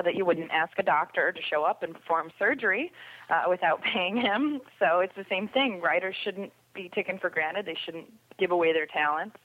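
A woman's voice: fairly low pitch, dry recording, narrow, tinny audio. A woman guest on a podcast chatting casually in an agreeable, colloquial way. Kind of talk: casual chat.

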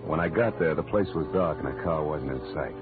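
A man's voice: very deep voice, a little fast. An American man playing a tough, wisecracking private detective in an old radio drama, clipped and sardonic. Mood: wry, tense narration. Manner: hard-boiled, clipped, sardonic. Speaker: lead actor and narrator. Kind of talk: 1940s-50s radio detective acting. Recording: muffled old radio recording with music.

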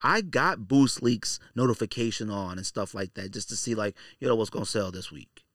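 A man's voice: lowish voice, normal speed, a bit bright, dry recording, clean studio sound. A man hosting a solo podcast, talking casually and directly to listeners in slangy, opinionated speech, repeating phrases for emphasis. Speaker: podcast host.

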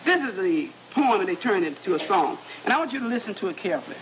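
Man, very high voice, bright and forceful, lively lecture to students, stammering repeats. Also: fast talker, a little hiss or hum, poor audio. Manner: animated, repeats words while searching, laughs and jokes with audience. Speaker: psychologist lecturing at a conference.

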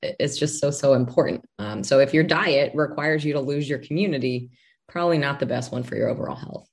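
Low-voiced woman explaining calmly. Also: a little fast. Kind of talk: casual conversation.

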